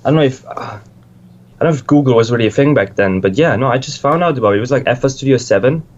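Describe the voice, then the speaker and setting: A man's voice: mid-range voice, fast talker, a little mellow, lo-fi sound. A young man from Switzerland, a music producer, chatting casually in an interview, rambling and swearing freely with lots of restarts.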